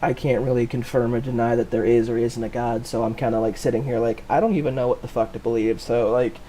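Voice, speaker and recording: A young man in his twenties hosts a casual podcast at an ordinary pitch. He tells jokey stories and swears freely. Faint noise sits behind him. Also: little pitch movement, quick pace, dark tone. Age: 20s. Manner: loose, profane, jokey storytelling. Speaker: podcast host. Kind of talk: casual chat.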